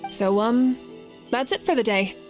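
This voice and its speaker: An actress plays a young woman warrior leader in a video game. Her voice sits a little high, self-assured and easygoing with a playful edge. Faint music runs underneath. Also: measured pace, natural voice. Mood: relaxed.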